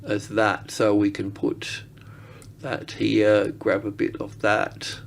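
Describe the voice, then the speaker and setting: A man giving unscripted market analysis as he works through charts, rambling and halting as he thinks aloud. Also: on the low side, completely flat delivery, gravelly, a little hiss or hum.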